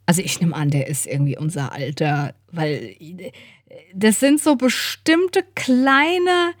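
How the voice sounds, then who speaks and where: A woman's voice: on the low side, unhurried, no room sound. A middle-aged woman co-hosting a podcast, chatting casually in an informal, enthusiastic manner. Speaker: podcast co-host. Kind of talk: casual chat.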